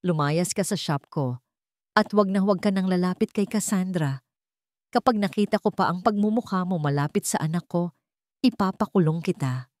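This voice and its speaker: A proud young mother with a hurt heart, played by a woman storyteller. She is fiercely protective and emotional in radio-drama style, with a lowish voice. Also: normal speed, darkish tone, no room sound, studio quality, close to an everyday voice. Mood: furious, defiant. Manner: defiant, emotional.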